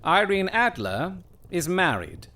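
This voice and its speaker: A man playing a cool, shrewd detective in an audio drama, speaking with formal, measured courtesy and dry irony. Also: sits high, big pitch swings, sharp tone, exaggerated voice. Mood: assured, matter-of-fact. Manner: measured, formal, dryly ironic.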